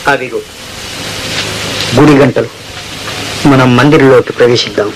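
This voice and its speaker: A man's voice: mid-range voice, unhurried, natural voice. A man playing a cautious, learned court musician in a radio play, with a formal, courtly, eloquent delivery. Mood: wary warning. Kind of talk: Telugu radio play acting. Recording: noisy old radio play recording.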